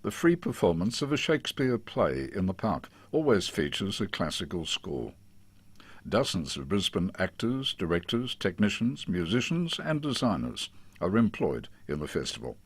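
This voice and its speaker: An Australian man reading a scripted promotional narration in a measured, formal announcer's manner. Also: deep voice, big pitch swings, breathy edge, no room sound. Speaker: radio station presenter. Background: music.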